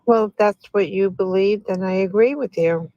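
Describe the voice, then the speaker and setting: A woman in her seventies, a guest on a live stream, speaking slowly and gently with pauses. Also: mid-range voice, no room sound. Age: 70s. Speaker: livestream guest. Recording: dull, dry remote audio.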